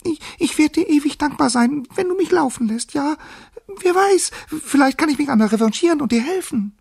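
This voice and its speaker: Storybook mouse, played by a man: tiny, timid, very high squeaky voice, stammering and submissive. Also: expressive, fairly quick, vocal fry, a little mellow, dry recording, studio quality, full character voice. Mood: pleading, timid. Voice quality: squeaky, thin. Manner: stammering, pleading.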